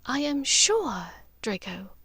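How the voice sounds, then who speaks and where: A woman voicing a haunted, gently resolute witch mother in an audiobook, speaking earnestly and haltingly with care. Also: on the high side, very animated, creaky, husky, no room sound, exaggerated voice. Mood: gently firm. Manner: halting, vehement, careful. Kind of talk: audiobook character dialogue.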